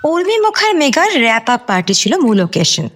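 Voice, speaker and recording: An actress playing a brash young go-getter stylist in a radio drama, sassy and impatient, mixing in English words. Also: female voice, sits high, sing-song, moderate pace, a bit bright, exaggerated voice. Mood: matter-of-fact.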